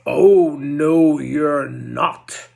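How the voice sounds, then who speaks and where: A man's voice: high voice, very animated, very slow, a bit bright, voice pushed up, heavily acted. A man voicing a greedy, hungry male troll who roars and growls at the goats. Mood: roaring. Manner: roaring, greedy and gruff.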